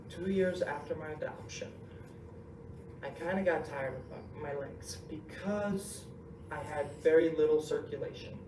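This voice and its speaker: A young man from Russia, with no accent, giving a casual, joking talk to a student group, full of ums and uhs. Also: on the high side. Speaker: inspirational speaker. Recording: echoey hall recorded from far off with noise.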